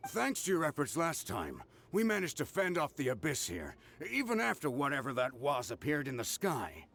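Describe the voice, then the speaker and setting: An actor voicing a weary, modest soldier in a video game, speaking in a conversational, resigned way. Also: male voice, medium pitch, wildly swooping pitch, normal speed, vocal fry, a bit bright, soft background music, heavily acted. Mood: sheepish, grateful. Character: weary, modest male soldier defending a base.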